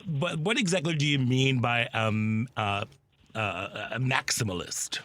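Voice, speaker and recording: Bright-voiced man hosting a radio interview. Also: mid-range voice, unhurried, dry recording. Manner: playful, joking interviewer. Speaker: radio show host.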